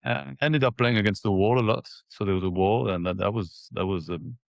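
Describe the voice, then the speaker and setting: A man in his forties from Ethiopia and Mauritius reminiscing in a casual interview, haltingly, repeating words as he thinks. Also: fairly low pitch, gravelly, dry recording, highs cut off. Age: 40s. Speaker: interview guest, former professional tennis player.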